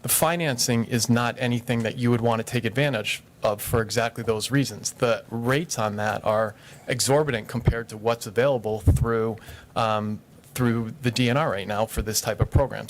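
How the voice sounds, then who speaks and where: A man's voice: medium pitch, fairly even intonation, normal speed, a little hiss or hum. A man answering questions at a city council meeting, firm and matter-of-fact, explaining at length. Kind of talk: public meeting testimony.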